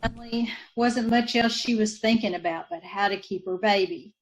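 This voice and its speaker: A woman's voice: medium pitch, moderate pace, natural voice, low-quality recording. A woman acting a grieving, bitter sister in an old-time radio drama, with plain folksy speech and repeated phrases. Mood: bitter, weary. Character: grieving, bitter sister of a committed young mother.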